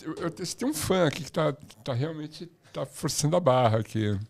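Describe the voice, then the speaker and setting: Gravelly older male podcast host. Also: fairly high pitch, expressive, normal speed. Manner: teasing, probing questions. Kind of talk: casual interview chat. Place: small podcast studio, indoors. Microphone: boom mic and headphones.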